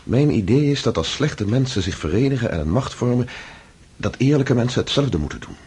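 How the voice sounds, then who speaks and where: An actor playing an earnest, affectionate idealist husband in a radio drama, husky, thoughtful and hesitant. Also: male voice, medium pitch, lively intonation, brisk, a little mellow. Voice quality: breathy, husky. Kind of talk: intimate radio drama acting.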